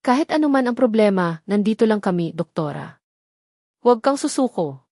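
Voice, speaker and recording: Lady narrator doing a worried filipino village mom, caring and gently pleading, storybook style, dry sound. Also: medium pitch, sing-song, normal speed, no echo. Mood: comforting.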